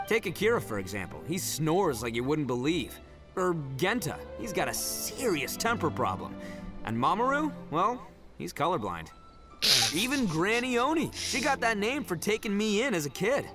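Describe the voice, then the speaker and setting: A man's voice: high-pitched, sing-song, moderate pace. An actor playing a brash, boastful young male oni gang leader who laughs often and talks in slang, in a video game. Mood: proud. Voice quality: loud, rowdy and cheerful. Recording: game audio with music behind.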